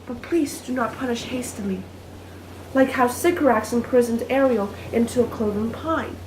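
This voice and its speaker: A woman's voice: fairly high pitch, moderate pace, a little mellow, close to an everyday voice. A young woman performing an innocent, lovestruck maiden in an earnest, theatrical Shakespearean soliloquy. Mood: pleading. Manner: earnest, declamatory, archaic diction. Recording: echoey room with faint noise.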